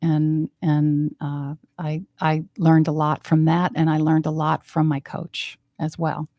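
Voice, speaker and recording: A woman's voice: low-pitched, completely flat delivery, takes it slowly, dark tone, no room sound, clean studio sound. A woman from New Hampshire, a life coach guest on a radio show, upbeat and laughing often. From New Hampshire, USA. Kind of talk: radio interview. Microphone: studio microphone.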